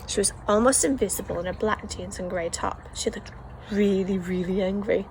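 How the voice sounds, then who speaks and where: A woman's voice: medium pitch, fairly even intonation, moderate pace, a little hiss or hum, rough recording. A woman reading a children's novel aloud as the first-person narrator, telling the story expressively. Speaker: audiobook reader.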